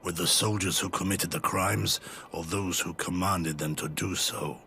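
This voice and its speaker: A solemn challenging counsellor in a game, deep n forceful, grave, faint music. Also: male voice, steady tone, a little hiss or hum, heavily acted. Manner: grave, rhetorical, deliberate. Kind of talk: video game cutscene acting.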